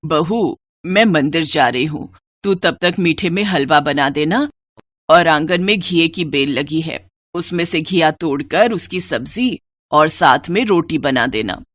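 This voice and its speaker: A homely, kindly mother-in-law of middle age, put on by a woman. She speaks in a lowered voice with motherly authority, as in a storybook tale. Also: animated, unhurried, fairly bright tone, no room sound, exaggerated voice. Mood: motherly, instructing.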